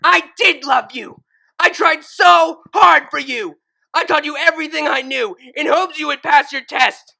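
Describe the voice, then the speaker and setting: A reader voicing a grown female pegasus turned cruel, who yells at a young pony with bitter fury in a fan fiction audiobook. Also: high-pitched, a little slow, gravelly, voice pushed up, exaggerated voice, low-quality recording. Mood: furious. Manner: furious yelling, bitter and cold. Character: adult mare pegasus turned ruthless, screaming with hate.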